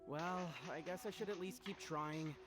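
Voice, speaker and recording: An actor playing an earnest, theatrical young festival hype-man in animated video game dialogue. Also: high-pitched, a little slow. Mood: dejected, pleading. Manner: theatrical, over-enthusiastic. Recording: game audio with music.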